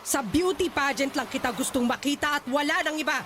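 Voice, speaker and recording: A woman's voice: high voice, brisk, lightly acted. A woman acting a pushy, pageant-obsessed mother with a Filipino accent, scolding and commanding in a radio drama. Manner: scolding, commanding, sharp-tongued. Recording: faint background noise.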